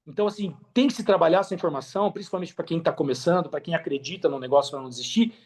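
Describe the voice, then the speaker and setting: High-pitched Brazilian man talking earnestly. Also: rapid-fire, a little mellow. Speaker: podcast guest. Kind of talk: casual interview talk.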